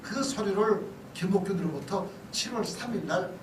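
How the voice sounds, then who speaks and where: A man's voice: high-pitched, moderate pace. A male pastor giving a conversational history lecture, chatty and often checking in with listeners through questions and asides. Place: church hall lecture. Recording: echoey hall, distant mic, noisy background.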